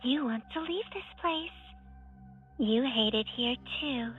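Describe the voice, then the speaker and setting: An actress playing an eerie female game character who speaks slowly and deliberately, slipping toward whispers, in muffled game audio. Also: sits high, soft background music. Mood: coaxing.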